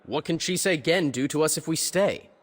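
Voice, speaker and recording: A man's voice: fairly high pitch, expressive, normal speed. A man voicing a skeptical, blunt team member in a dramatic video game.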